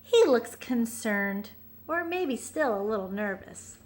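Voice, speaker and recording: A woman storyteller reading a picture book aloud to young children, pausing for simple explanations and questions. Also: fairly high pitch, lively intonation.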